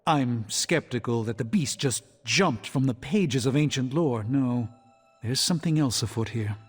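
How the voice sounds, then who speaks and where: Formal, learned sorcerer doctor. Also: male voice, medium pitch, breathy edge. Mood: skeptical, urging. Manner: formal, precise, deliberate phrasing. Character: formal, learned male sorcerer doctor, measured and self-assured. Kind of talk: video game cutscene acting. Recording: loud game music behind.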